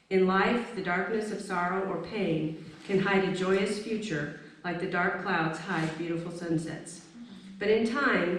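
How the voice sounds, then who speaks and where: A woman presenting at a live event, introducing pieces and reading aloud. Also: fairly low pitch. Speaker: event presenter, stand-in reader. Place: indoor event space, recorded from a distance. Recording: very echoey room, distant mic, faint noise.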